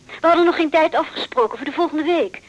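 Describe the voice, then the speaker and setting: An actress playing a hurt, lovelorn music student in a radio drama, speaking tentatively and pleadingly. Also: female voice, high-pitched, quick pace, lo-fi sound, lightly acted. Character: hurt, pleading female cello student in love. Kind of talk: naturalistic radio drama dialogue.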